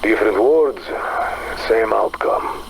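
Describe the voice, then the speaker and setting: A man's voice: on the low side, animated, slow pace, vocal fry, a little hiss or hum, heavily acted, rough recording. An actor playing a guarded, bitter Russian man, speaking with a Russian accent and a curt, intense manner in a game drama. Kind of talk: dramatic game dialogue acting.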